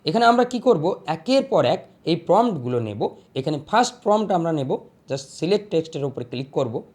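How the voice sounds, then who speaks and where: A host narrates a how-to video step by step. The pitch swings widely and animatedly. Phrases get repeated, with small check-ins to the listener along the way. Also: sing-song, brisk, a little mellow. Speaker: tutorial host. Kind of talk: step-by-step tutorial voice-over.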